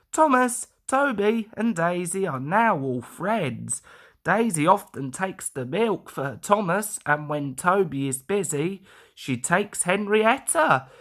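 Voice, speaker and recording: A woman's voice: fairly low pitch, fairly bright tone. A woman reading a children's story as a scripted narration.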